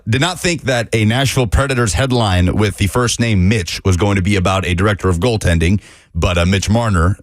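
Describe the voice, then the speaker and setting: A man breaking down sports on a studio radio show, brisk and detailed, rattling off records and numbers. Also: on the low side, no echo. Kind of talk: sports radio talk, analysis. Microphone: studio microphone.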